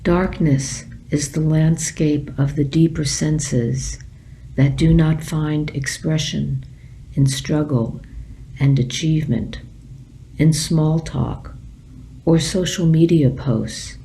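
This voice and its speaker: A woman meditation teacher with a low, mellow voice guides listeners gently. She speaks in short phrases with long pauses between them. There is a faint noise behind her. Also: steady tone, poor audio.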